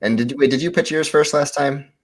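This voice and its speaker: A man's voice: fairly high pitch, a little fast. A man chatting casually as a contestant on a podcast. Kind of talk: casual chat.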